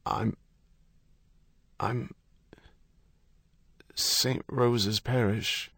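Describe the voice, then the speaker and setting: A man playing an injured, grieving patient, dazed and halting, with a weak croak. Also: mid-range voice, steady tone, a bit bright, no room sound, voice pushed up, heavily acted. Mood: dazed, confused. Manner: halting, gasping.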